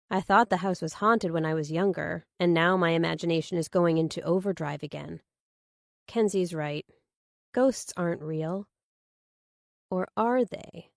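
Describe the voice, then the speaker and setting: A woman narrates a thriller novel in the first person. Her voice sits on the low side for a woman. The recording is dry and close, as befits an audiobook. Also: unhurried, gravelly, dry recording.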